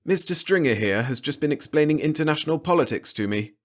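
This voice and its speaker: A man's voice: a little high, expressive, fast talker, voice pushed up, close to an everyday voice. A man voicing an exasperated, protective middle-aged father in a storybook, speaking with emphatic indignation. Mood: polite.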